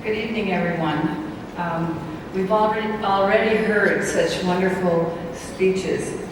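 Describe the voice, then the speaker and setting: A woman giving an acceptance speech at an awards dinner, slow and hesitant with frequent ums and restarts. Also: mid-range voice, steady tone. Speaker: award honoree. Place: large banquet hall. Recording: echoey banquet hall from far off, noisy.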